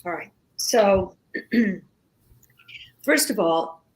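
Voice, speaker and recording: A woman whose first language is Greek, speaking as a guest in a casual radio conversation, slow and halting with many pauses and restarts. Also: mid-range voice, lively intonation, rough recording.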